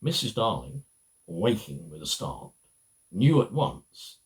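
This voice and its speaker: A middle-aged man reading a children's story aloud, halting and emphatic, with small stumbles. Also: low-pitched, sing-song. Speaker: amateur storybook reader.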